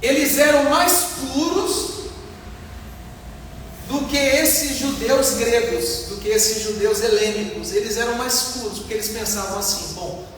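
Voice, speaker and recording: High-voiced Brazilian pastor in an echoing hall. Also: male voice, measured pace, a bit bright. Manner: didactic, repeats points, emphatic, asks and answers questions. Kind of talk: teaching sermon, explaining a Bible passage. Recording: echoey hall, distant mic, faint noise.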